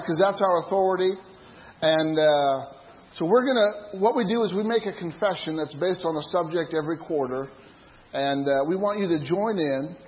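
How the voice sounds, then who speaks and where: A woman's voice: lowish voice, a little hiss or hum. A woman leading a church service, speaking in fervent, declamatory phrases of praise and exhortation to the congregation. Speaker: church worship and service leader. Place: church hall during a live service. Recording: muffled live church sound with loud music. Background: loud worship music.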